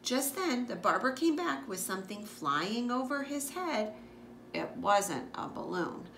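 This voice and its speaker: A woman's voice: fairly high pitch, unhurried, creaky. A woman reading a children's picture book aloud expressively, as a school librarian. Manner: expressive, with asides to listeners. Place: living room, on a couch indoors. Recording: echoey room with background noise.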